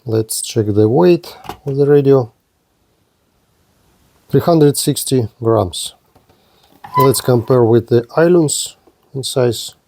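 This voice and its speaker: A man giving an unscripted hands-on product demonstration as a reviewer, speaking haltingly in short, broken-up phrases. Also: medium pitch, creaky, a little mellow. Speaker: gadget reviewer presenting a radio.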